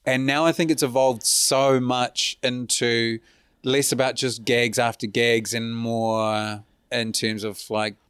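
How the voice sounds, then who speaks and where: Smooth-voiced man tossing out dry quips. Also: medium pitch, little pitch movement, takes it slowly, a bit bright, dry recording. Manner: dry quips, casual swearing. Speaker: stand-up comedian guest. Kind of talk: casual chat.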